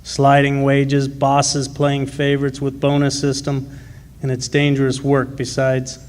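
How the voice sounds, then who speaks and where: A man acting as a plainspoken, proud miner, even-toned. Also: medium pitch, measured pace, natural voice. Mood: earnest, persuasive. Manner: plainspoken, folksy working-class speech. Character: gruff, proud working-class miner and union man. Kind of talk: live original radio drama.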